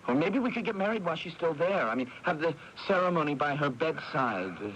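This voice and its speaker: Guilty hot-tempered brother, highish voice all over the place, halting, old radio drama w some hiss. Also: male voice, big pitch swings, a bit bright, lo-fi sound. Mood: subdued. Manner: halting, agitated, repeats himself. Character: anguished, guilt-ridden brother with a fierce temper. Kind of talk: 1980s radio drama acting. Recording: noisy 1980s AM radio broadcast.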